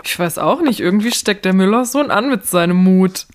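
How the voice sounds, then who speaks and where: A woman's voice: mid-range voice, animated, normal speed. A woman hosting a podcast, speaking in playful, colloquial, lightly acted banter. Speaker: podcast host.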